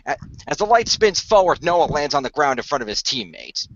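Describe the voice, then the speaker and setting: A man hosts and narrates a hobby radio play in a casual style. His voice is bright and very lively in pitch, with a close, dry sound that is a little lo-fi. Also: fairly quick, dry recording. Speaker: co-creator, narrator and host.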